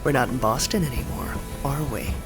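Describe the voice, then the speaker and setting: A man's voice: mid-range voice, a little fast, music in the background, natural voice. An actor playing an earnest, obsessive young man in an audio drama, hopeful but defensive. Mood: bewildered.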